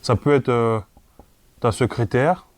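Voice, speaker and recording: A man's voice: medium pitch, slow. A man presenting an informal tutorial, explaining step by step, speaking directly to the viewer and often checking they follow. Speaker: presenter of a property investment tutorial.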